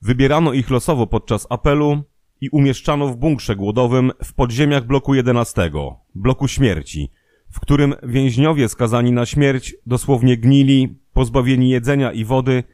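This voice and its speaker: A man reading scripted documentary narration in a measured, formal manner. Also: medium pitch, darkish tone, dry recording, clean studio sound.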